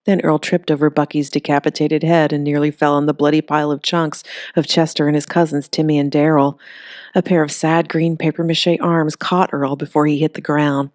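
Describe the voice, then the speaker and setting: A woman reads a creature story aloud in an even, steady voice. Her pitch sits low for a woman. The recording is close and dry, with no room sound. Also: a little fast, no echo. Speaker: audiobook narrator. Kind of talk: scripted storybook narration.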